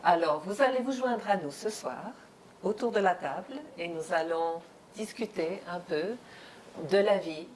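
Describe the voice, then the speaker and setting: Woman host talking real slow, lowish voice, picking her words, faint dish noise. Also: darkish tone. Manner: slow and measured, searching for words. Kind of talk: hosted interview. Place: dinner table in a home.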